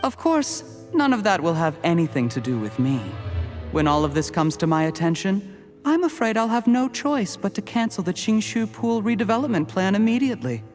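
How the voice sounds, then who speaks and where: Smug scheming game villain official, higher male voice, polite but icy, gloating, bit of music behind. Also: very animated. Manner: measured, theatrical villain monologue with mocking politeness. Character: cold, calculating official turned smug poisoner, gloating villain. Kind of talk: scripted video game voice acting.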